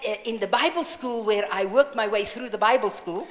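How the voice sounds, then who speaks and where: A woman in her sixties from South Africa, preaching with an interpreter, lively and playful, laughing often. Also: medium pitch, moderate pace. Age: 60s. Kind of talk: sermon through an interpreter. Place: large hall with audience. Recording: hall reverb.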